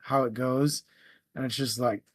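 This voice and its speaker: A man co-hosting a casual book discussion, animated in how he makes his points. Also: mid-range voice, a little slow, no room sound, studio quality. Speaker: book podcast co-host.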